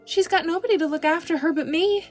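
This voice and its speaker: A woman's voice: extremely high pitch, fast talker, faint music behind. A woman voicing an anxious, devoted young maidservant girl in an audiobook, with an earnest, deferential, folksy rural way of talking. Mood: distressed, fretful. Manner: rustic folksy dialect, deferential, breathlessly earnest. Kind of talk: audiobook character acting.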